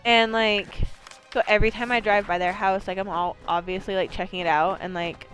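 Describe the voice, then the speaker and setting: A woman's voice: mid-range voice, steady tone, brisk, a bit bright, faint music behind. A woman from Maine streaming a video game, talking in a rambling, chatty way with lots of fillers and swearing. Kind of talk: casual let's-play chat.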